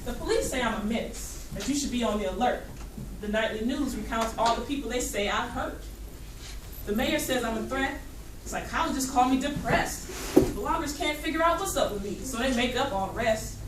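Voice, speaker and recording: A woman's voice: on the high side, moderate pace, lightly acted. A woman reading a poem aloud as a proud, misjudged young male student, hurt and defiant, with rhythmic emphasis. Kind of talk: spoken poem read aloud. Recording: echoey noisy classroom, distant mic.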